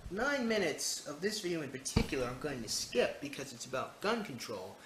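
A man's voice: fairly high pitch, very animated, a little fast. A man giving rambling video commentary, hesitant and often digressing. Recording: echoey, noisy homemade video.

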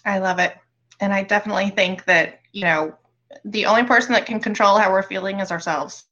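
A woman's voice: medium pitch, brisk, sharp tone, highs cut off. A woman, co-hosting a casual chat in a reassuring way and laughing easily.

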